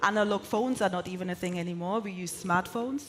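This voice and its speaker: A woman's voice: on the low side, fairly quick, a bit bright, a little hiss or hum. A woman whose first language is German gives an earnest, emphatic conference talk, building her points in repeated lists. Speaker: keynote speaker.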